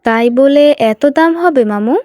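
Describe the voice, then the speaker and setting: A woman voicing a weary, poor mother in a children's cartoon, explaining and gently scolding in an exasperated but affectionate way. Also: sits high, moderate pace, dry recording, studio quality, close to an everyday voice. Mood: earnest protesting.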